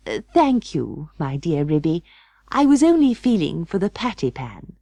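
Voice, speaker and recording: A woman voicing an excitable, anxious female dog in a storybook reading, flustered and melodramatic. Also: lowish voice, unhurried, vocal fry, dry recording, exaggerated voice. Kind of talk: audiobook storybook character voice.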